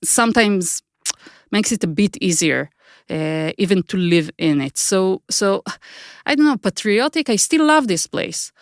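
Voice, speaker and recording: A woman's voice: mid-range voice, animated, unhurried, no echo, studio quality. A middle-aged woman with an Israeli accent, a native Hebrew speaker, answering interview questions thoughtfully and searching for her words. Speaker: guest, author.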